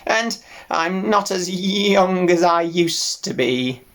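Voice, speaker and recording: Brief: man doing an elderly ewe for a storybook; raised, drawling, lively in pitch. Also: heavily acted. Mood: gloomy. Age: older. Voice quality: slow drawling rustic dialect speech. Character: old female ewe, mournful, slow, rustic. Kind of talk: audiobook character voice.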